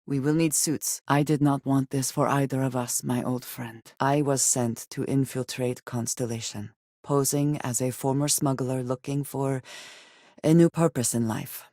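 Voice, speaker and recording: An actress playing a guarded, devout and loyal woman spacefarer in a video game, with measured, formal, precise phrasing. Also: very deep voice, steady tone, warm tone, no echo, clean studio sound. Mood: guarded, serious. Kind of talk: scripted game character dialogue.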